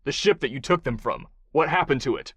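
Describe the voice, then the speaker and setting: A man's voice: medium pitch, expressive, brisk, fairly bright tone, husky, dry recording. Man voicing a grim, barking action-hero interrogator. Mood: hard demanding. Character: hard, ruthless male action hero interrogating a captive. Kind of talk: audiobook character voice.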